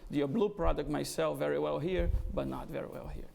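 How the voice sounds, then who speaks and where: A middle-aged Brazilian man with a gravelly, slightly high voice speaks to an event audience. His Brazilian Portuguese accent is strong, and he pauses often to find his words. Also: darkish tone, slight background noise. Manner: hesitant, with frequent "uh" fillers. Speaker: guest speaker, business executive. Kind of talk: conversational fireside interview. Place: event venue before an audience.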